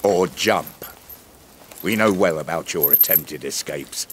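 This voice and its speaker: A man playing a hostile, gruff medieval guard, curt and scornful, in an audio drama. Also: sits low, slow, a little hiss or hum.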